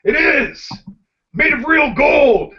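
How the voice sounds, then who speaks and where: A man's voice: extremely high pitch, expressive, takes it slowly. A man hosting a rowdy group video chat, joking and giggling a lot. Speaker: host of the hangout. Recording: dull lo-fi call audio.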